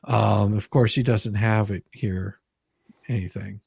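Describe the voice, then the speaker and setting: A man's voice: on the low side, fairly even intonation, slow, vocal fry, a little mellow, slightly rough, no echo. A man hosting a casual live stream, explaining legal paperwork in an emphatic, sweary way. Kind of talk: casual commentary on a legal document.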